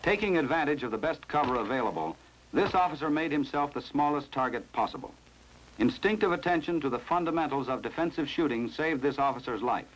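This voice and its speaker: A man narrating a vintage training film in a formal, measured, authoritative instructional manner, reading from a script. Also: mid-range voice, expressive, a little hiss or hum, low-quality recording.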